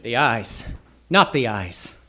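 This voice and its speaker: An actor playing a hard-boiled, wisecracking detective who narrates and talks with dry wit in a live old-time radio noir. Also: male voice, mid-range voice, takes it slowly, exaggerated voice. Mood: wry reluctance. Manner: dry, deadpan, wry.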